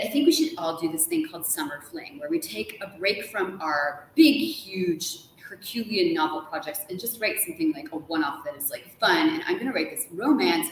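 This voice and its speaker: A middle-aged American woman, an author being interviewed, chats casually and tells stories in an animated, playful way. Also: mid-range voice. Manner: animated storytelling, quoting people with playful asides. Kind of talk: lively casual conversation. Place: large hotel sitting room, live stream. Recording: echoey room from a distance, noisy.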